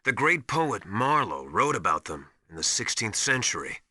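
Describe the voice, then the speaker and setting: Commanding game mentor, very forceful bright tone, lively swooping pitch, didactic, emphatic. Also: male voice, moderate pace, no room sound, clean studio sound, exaggerated voice. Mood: ominous, solemn. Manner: didactic, urgent, emphatic instruction. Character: knowledgeable, commanding male military survival mentor called Master. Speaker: radio support advisor. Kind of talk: video game voice acting.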